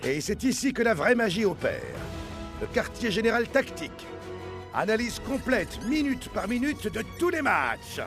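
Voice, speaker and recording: Showy, high-voiced cartoon football star. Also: male voice, sing-song, moderate pace. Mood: proud, showy. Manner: showy, presenting like a tour guide. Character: proud football player showing off team headquarters, upbeat guide. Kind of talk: animated cartoon dubbing. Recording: loud music under the voice.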